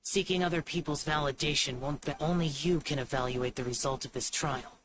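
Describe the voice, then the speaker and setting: A man's voice: fairly high pitch, fairly even intonation, fairly quick, distant mic, background noise, natural voice. A man voicing a stern, aloof young immortal mentor in a video game, curt and austere. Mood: stern, dismissive.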